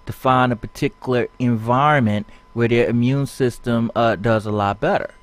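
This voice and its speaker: A man's voice: mid-range voice, fairly even intonation, normal speed. A man hosting a talk show from a home studio, asking rambling questions with restarts. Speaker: host. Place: home studio with audio gear.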